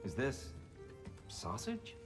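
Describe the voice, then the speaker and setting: An actor playing a thoughtful, peaceable man with a measured, dry manner in a video game cutscene. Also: medium pitch, huge pitch swings, over music. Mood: dry, dubious.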